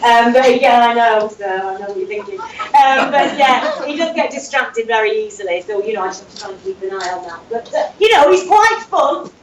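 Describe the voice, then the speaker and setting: A woman playing a mild, hesitant safety assistant who fills pauses often. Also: a little high, lively intonation, natural voice. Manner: hesitant, many fillers, mild. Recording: roomy distant room with faint noise.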